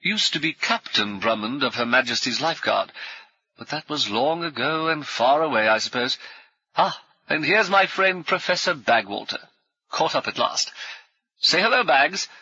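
A man doing a booming brash old soldier. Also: expressive, normal speed, sharp tone, slightly rough, no echo, full character voice. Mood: hearty. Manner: swift, clipped speech. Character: hearty ex-cavalry officer, brash, jovial, bossy. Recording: dull, dry lo-fi audiobook recording.

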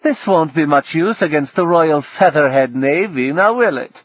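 A man voicing a jovial, rueful man who speaks loudly and wryly. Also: a little high, expressive, normal speed, dry recording, pitched above the performer's own voice. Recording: dull dry audiobook recording.

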